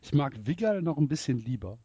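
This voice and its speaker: A man with a husky, breathy voice, recorded dry and close. He trades deadpan jokes in an easygoing chat. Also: medium pitch, brisk, dry recording, highs cut off. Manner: dry, playful banter. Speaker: podcast co-host. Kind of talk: casual chat.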